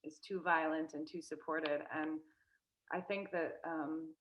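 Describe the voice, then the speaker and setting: A woman's voice: deep voice, fairly even intonation, a little slow, highs cut off, a little hiss or hum. A young woman, a guest in a casual interview, talking hesitantly with many fillers and restarts. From United States.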